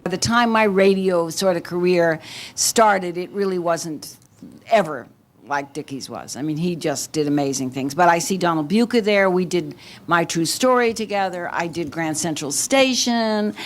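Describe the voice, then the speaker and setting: Older woman, lowish pitch, casual reminiscing. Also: moderate pace. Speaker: former radio actress.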